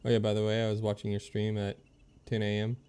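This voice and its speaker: A man giving casual, low-key gameplay commentary to himself and his stream viewers. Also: completely flat delivery, fast, mellow, clean tone. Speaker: game livestreamer.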